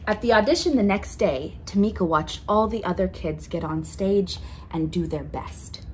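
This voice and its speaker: A woman's voice: mid-range voice, normal speed, poor audio. A woman reading a children's picture book aloud expressively, drawing out key words for emphasis. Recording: noticeable background noise.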